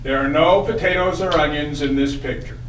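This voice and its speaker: A man's voice: fairly high pitch, brisk, a bit bright, close to an everyday voice. A man playing a store owner on the witness stand in a puppet trial, giving terse, literal answers. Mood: guarded. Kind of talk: courtroom testimony read from a transcript. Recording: echoey, far from mic, faint noise.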